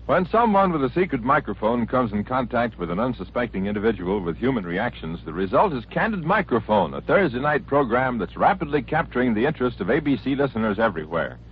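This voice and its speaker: Lively-pitched American male radio announcer. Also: slight background noise. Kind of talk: 1940s scripted radio promo narration. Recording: dull vintage radio recording.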